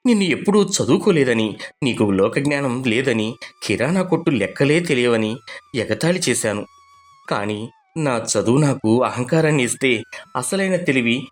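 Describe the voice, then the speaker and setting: A man playing an earnest, emotional husband and father in an animated moral story. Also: medium pitch, close to an everyday voice.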